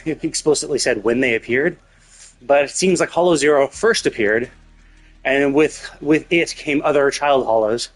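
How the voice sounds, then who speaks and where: A man streaming a game with casual, rambling commentary and some swearing, explaining things as he thinks aloud. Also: medium pitch. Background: game music.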